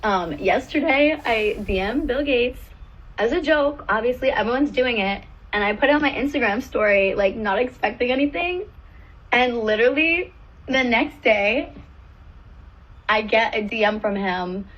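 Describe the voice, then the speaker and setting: A woman with a slightly high voice tells a story in a casual clip, hesitating often. The audio is dull and lo-fi. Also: animated, measured pace, fairly bright tone. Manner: hesitant, filler-heavy storytelling.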